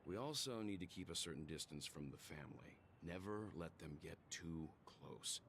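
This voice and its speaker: An actor playing a stern, cautious mature man in an anime game, speaking in a grave, measured way. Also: low-pitched, vocal fry, fairly bright tone, a little hiss or hum. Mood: analytical. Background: background music.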